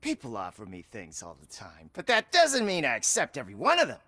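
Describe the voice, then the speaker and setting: A man's voice: medium pitch, huge pitch swings, fairly quick, sharp tone, full character voice. A man playing an arrogant, irritable TV star in a video game, sneering and sarcastic. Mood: sly. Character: arrogant, foul-mouthed TV star, irritable and smug. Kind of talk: video game cutscene acting.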